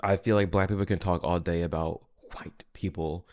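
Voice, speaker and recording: A man's voice: low-pitched, fairly even intonation, moderate pace, a little mellow. A young man with an American accent giving casual, conversational commentary as an influencer hosting a reaction video.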